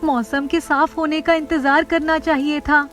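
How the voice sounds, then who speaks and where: A high, anxious village schoolchild from a cartoon moral tale, acted out by a woman. The child speaks earnestly and worriedly, with music behind. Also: level tone, a little slow, fairly bright tone. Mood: anxious, urgent.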